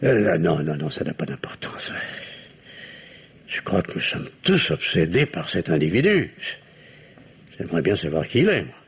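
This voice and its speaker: A man's voice: deep voice, sing-song, normal speed, creaky, pauses often, slightly rough, heavily acted. An actor playing an anxious, evasive middle-aged family doctor and father in an old-style radio drama, hesitant and theatrical. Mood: curious, flustered. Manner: hesitant, theatrical, repeats phrases reassuringly. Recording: muffled old radio recording.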